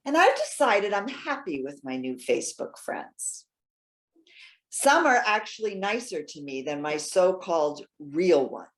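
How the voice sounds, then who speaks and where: A woman playing a lonely, proud elderly lady in a stage reading, halting and questioning with wounded politeness. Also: fairly low pitch, sing-song. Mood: politely cool. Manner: halting, stammering, emphatic questioning. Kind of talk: readers theater stage acting.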